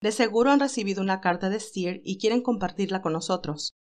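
A woman's voice: medium pitch, fast, a little mellow, natural voice. A woman voicing an earnest, tender young nurse in an audio story, emotional and caring. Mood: bright, caring.

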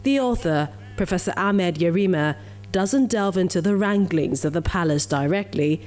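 A woman's voice: fairly low pitch, normal speed, darkish tone, faint music behind. A woman with a Nigerian accent narrating a scripted television news feature as a reporter.

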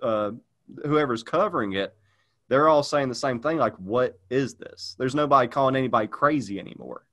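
A man's voice: medium pitch, moderate pace, a bit bright. A man chatting casually on a podcast, rambling with lots of fillers and restarts. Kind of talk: casual chat.

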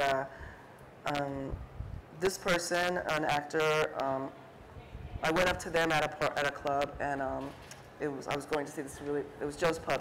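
A woman's voice: deep voice, slight background noise, rough recording. A middle-aged woman, an artist on a panel, talking casually about her photography with many fillers and restarts, acting out quoted remarks as she goes. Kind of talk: conversational talk on stage. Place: stage at an art fair talk, indoors before an audience.

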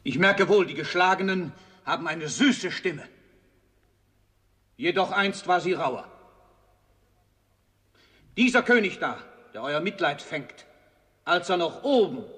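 An actor playing a proud, defiant dead Roman general on trial, declaiming in a radio play. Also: male voice, high-pitched, fairly bright tone. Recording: long hall reverb.

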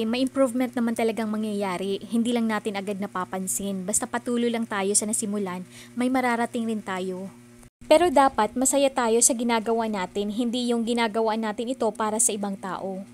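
A woman's voice: a little high, a little hiss or hum. A middle-aged woman, narrating her own vlog in a chatty, earnest, encouraging voice-over. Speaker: vlogger.

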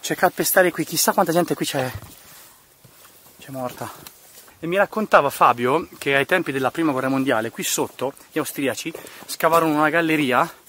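A man's voice: fairly high pitch, moderate pace. A man giving casual, exclamatory commentary as he hosts a motorcycle vlog outdoors. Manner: effusive, exclamatory, enthusiastic. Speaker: motovlogger host. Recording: loud wind and engine noise.

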